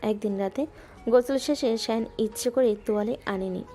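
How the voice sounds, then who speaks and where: A woman gently narrating a scripted romantic audio story. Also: fairly high pitch, moderate pace. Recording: music bed underneath.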